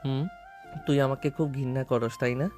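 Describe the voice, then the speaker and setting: A man's voice: medium pitch, steady tone, normal speed, a little mellow, faint music behind, close to an everyday voice. An actor playing a brooding, deferential young married man in a romantic audio story, speaking in a plain, subdued way. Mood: hurt, reproachful.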